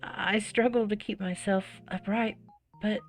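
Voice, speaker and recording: Expressive woman reading a game aloud, music behind. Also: mid-range voice, a little slow. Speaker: gamer playing and reading a visual novel aloud. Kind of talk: dramatic read-aloud with casual reactions.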